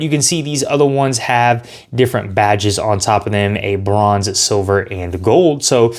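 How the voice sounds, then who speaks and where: A man hosting a tabletop game tutorial in a casual style, talking viewers through each step and reading out story passages. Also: medium pitch. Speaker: host teaching a board game.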